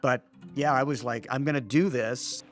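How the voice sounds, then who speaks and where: A man's voice: medium pitch, steady tone, moderate pace, soft background music. A mature man from Oklahoma reminiscing casually in a documentary interview. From Oklahoma, United States.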